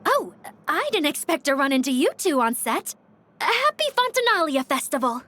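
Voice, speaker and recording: A woman's voice: high voice, very animated, moderate pace, sharp tone, full character voice. A woman voicing a bubbly, friendly and modest young woman reporter in a video game.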